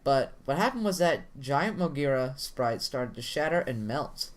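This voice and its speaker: Man giving an animated, dramatic horror story reading. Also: mid-range voice, moderate pace, slight background noise. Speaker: narrator of a horror story channel. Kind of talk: dramatic reading of a first-person creepypasta. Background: music under the voice.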